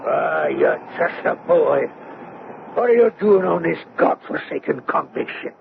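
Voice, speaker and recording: A bitter, defiant convict in a radio sea drama. His voice is gravelly and slow-paced, coming through a thin, phone-like old recording. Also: fairly high pitch, animated, noisy background, heavily acted. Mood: wary, surprised. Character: defiant, vengeful chained convict on a prison ship.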